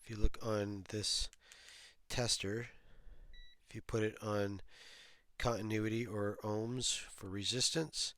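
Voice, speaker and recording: A man's voice: fairly low pitch, steady tone, slow pace, a bit bright, dry recording. A man hosting a hands-on repair tutorial, casually explaining each step as he works. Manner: explains step by step while working. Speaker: host of a toy restoration tutorial.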